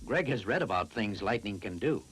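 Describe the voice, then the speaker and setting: A man reads scripted science narration for a classroom film, explaining in a deliberate, instructive way. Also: lowish voice, lively intonation, fairly bright tone, slightly rough.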